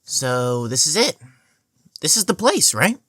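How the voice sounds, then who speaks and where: Man acting lively, slow-talking cocky teenage schoolboy. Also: on the high side, no echo, clean studio sound, full character voice. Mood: confident. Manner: slangy, boastful, easygoing. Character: brash cocky teenage schoolboy, eager newcomer. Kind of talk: fan audio drama acting.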